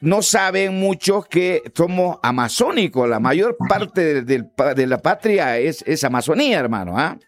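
Enthusiastic Bolivian interviewer. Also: male voice, on the high side, big pitch swings, measured pace, few pauses. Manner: friendly, enthusiastic, calls guest brother. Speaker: interviewer host. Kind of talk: interview conversation.

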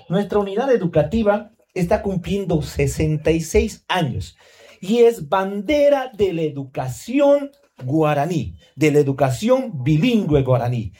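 Male school director, formal event speech, earnest and pleading, mellow tone. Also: high voice. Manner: earnest, pleading, repeats phrases with tag questions.

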